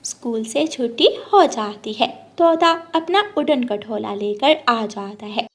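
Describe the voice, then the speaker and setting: A woman's voice: fairly high pitch, unhurried. A woman storyteller reading scripted narration for a children's cartoon moral tale.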